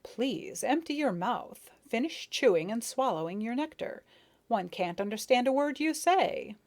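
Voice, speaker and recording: A woman's voice: a little high, lively intonation, voice pushed up. Woman playing a male rose beetle, pompous, indulgent, touchy, storybook. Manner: indulgent, self-satisfied, quick to anger.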